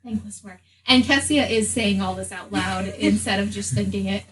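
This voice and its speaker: A woman's voice: mid-range voice, normal speed, a bit bright. A woman playing a tabletop game, switching casually between in-character talk and her own. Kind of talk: casual in-character role-play. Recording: distant mic, faint room noise.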